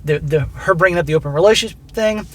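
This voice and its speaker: A man hosting a channel who reads a listener's story aloud and comments on it in a blunt, profane, coaching way, his voice going hoarse. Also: high voice, fast talker. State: losing his voice. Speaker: host reading listener stories.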